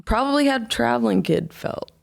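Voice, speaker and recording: A young woman, an artist, talking casually in an interview, rambling and halting with many fillers and restarts. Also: fairly high pitch, animated, creaky. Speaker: album artist, interview guest. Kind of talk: casual conversation.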